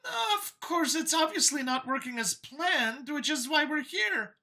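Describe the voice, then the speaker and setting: A man's voice: very high voice, expressive, unhurried, vocal fry, pushed and bright, pitched above the performer's own voice, full character voice. A man voicing a timid, nervous female mouse alien scientist from an audio story, stammering and hesitant. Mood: nervous, explaining.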